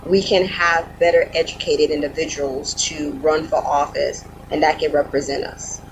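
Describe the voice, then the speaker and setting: A man's voice: a little high, flat delivery, normal speed, a little hiss or hum, poor audio. A man speaking earnestly as a panelist on a video call. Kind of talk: virtual panel discussion.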